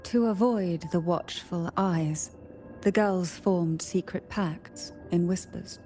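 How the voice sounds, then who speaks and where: Slow, mellow mysterious female storyteller. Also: on the low side, faint music behind. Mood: hushed storytelling. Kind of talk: scripted game narration.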